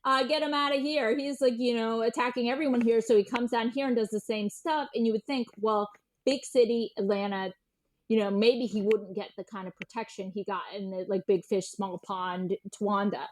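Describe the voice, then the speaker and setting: A woman's voice: fairly high pitch, steady tone, moderate pace. A woman speaking as an interviewed journalist, recounting events in an informal, emphatic way and repeating words for stress. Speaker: guest journalist and writer. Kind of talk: conversational interview storytelling.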